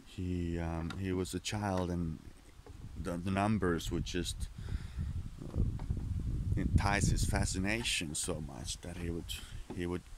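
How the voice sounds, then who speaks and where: A man with a low, rough, fry-laden voice talks straight to the camera in a loose, profane monologue. He keeps stopping, restarting and wandering off track, and English is not his first language, since he grew up speaking Finnish. A light hiss of background noise sits under his voice. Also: fairly even intonation, slow pace. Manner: candid, profane, meandering with many restarts. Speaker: vlogger.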